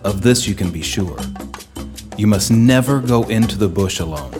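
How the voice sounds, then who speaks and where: A woman voicing a stern, grave male kudu father in a slow, solemn, deep put-on voice, with soft music behind. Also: lively intonation. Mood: stern warning.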